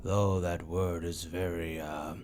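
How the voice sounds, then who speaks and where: A man voicing a smug, posh, flirtatious demonic being of entropy in a theatrical, grandiose dark fantasy audio drama. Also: sits low, flat delivery, slow pace, a little hiss or hum. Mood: amused, courtly.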